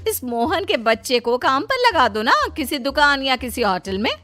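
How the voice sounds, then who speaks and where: Woman putting on a haughty, high-voiced boastful aunt. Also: big pitch swings, normal speed, bright, forceful tone, soft background music, heavily acted. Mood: scornful. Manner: condescending, scornful. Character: haughty, boastful married aunt, sneering at poorer relatives.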